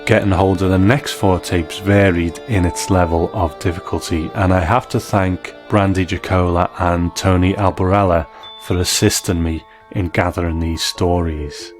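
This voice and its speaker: A man's voice: deep voice, steady tone, moderate pace, soft background music. A man hosting a documentary podcast, reading scripted narration. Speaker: podcast host and interviewer.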